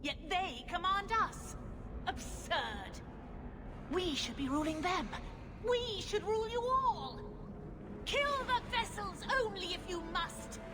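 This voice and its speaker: A woman's voice: very high voice, animated, slow, heavily acted. An actress playing a haughty, scheming female blood mage villain in a video game, speaking in a grandiose, menacing, theatrical way. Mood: grandiose fury. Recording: long hall reverb, clear background noise.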